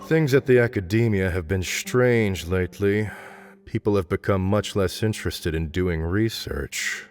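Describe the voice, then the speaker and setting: A man's voice: low voice, a little slow. A man playing an orderly, formal academy official in a video game, matter-of-fact, with music behind him. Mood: businesslike. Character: businesslike male academy official, orderly and fair.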